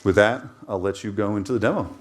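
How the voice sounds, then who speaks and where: A man's voice: fairly low pitch, fast. American man presenting at a conference. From United States. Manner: steady, business-like, frequent "uh" fillers. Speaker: company CEO presenting.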